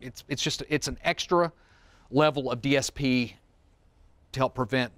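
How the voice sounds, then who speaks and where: A man's voice: mid-range voice, normal speed, a bit bright, dry recording. Male seminar presenter, middle-aged. Casual, explanatory product walkthrough, dry close sound. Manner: explanatory, plain-spoken, casual asides. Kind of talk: informal technical product demonstration. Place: studio room, seated at demo table among a small group. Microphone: wireless mic, close.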